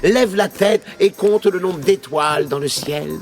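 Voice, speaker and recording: A man's voice: high voice, a little slow, over music. A man playing a cheeky, scheming folk hero in a children's cartoon, with a playful, theatrical, mock-innocent delivery. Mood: sly, hesitant. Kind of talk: French children's cartoon acting.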